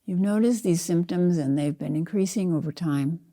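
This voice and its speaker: An older woman guides a meditation in a low-pitched voice with a very soft, dark tone. She speaks deliberately, in short phrases, and leaves room between them as a teacher would. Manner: measured, deliberate, spoken in short phrases with pauses. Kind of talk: slow guided meditation narration.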